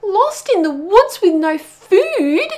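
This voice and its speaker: A woman's voice: very high-pitched, very animated, takes it slowly, a little mellow, voice pushed up, full character voice. A woman voicing a timid, panicky young teddy bear in a children's storybook, with a fretful, wailing delivery. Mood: panicked wailing. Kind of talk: children's storybook read-aloud.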